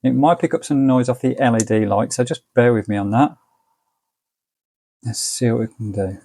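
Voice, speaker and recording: A man's voice: medium pitch, normal speed, vocal fry, dark tone, dry recording. An English man giving a casual, easygoing hands-on product review in colloquial step-by-step commentary. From United Kingdom. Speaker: gadget reviewer.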